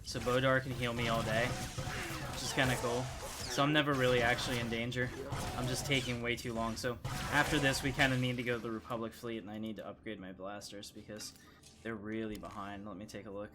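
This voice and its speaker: A man's voice: medium pitch, fairly even intonation, fairly quick. A man giving casual, informal gameplay commentary, thinking aloud as he plays. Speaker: gaming streamer.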